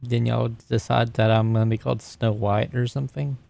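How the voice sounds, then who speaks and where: A man's voice: mid-range voice, little pitch movement, a little fast, creaky, a little mellow. A man talking casually as a player at a tabletop game. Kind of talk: casual chat.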